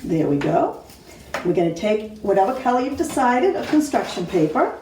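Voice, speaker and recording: A woman's voice: mid-range voice, big pitch swings, normal speed. A woman teacher giving simple step-by-step craft instructions to young children. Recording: roomy, distant mic, faint noise.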